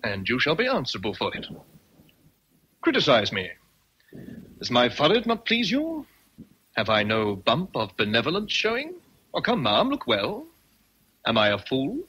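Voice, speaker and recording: An actor playing an imperious, teasing English gentleman with commanding, formal speech in a radio drama. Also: mid-range voice, wildly swooping pitch, normal speed, long pauses, a little hiss or hum, rough recording. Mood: challenging. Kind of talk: vintage radio drama acting.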